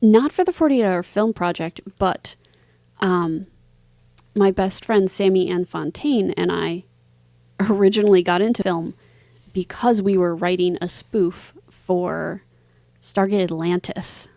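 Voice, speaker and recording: A woman's voice: fairly low pitch, measured pace, creaky, mellow, dry recording, clean studio sound. A woman speaking casually as an interview guest, with informal phrasing and emphatic words. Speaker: interview guest, writer and performer.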